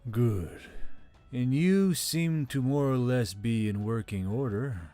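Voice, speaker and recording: A woman's voice: rumbling low, slow, warm tone, soft background music, voice pushed down. A woman voicing a courteous, wry and mysterious spirit of a magic necklace in a story. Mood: calm, wry.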